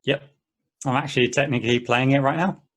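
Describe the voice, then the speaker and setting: A man's voice: mid-range voice, steady tone, a bit bright, close to an everyday voice. Man acting as easygoing, rambling treasure hunter. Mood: casual, explaining. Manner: conversational, halting, with stumbles. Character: easygoing, rambling male player of an endless treasure-hunt game. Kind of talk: amateur table read of a script.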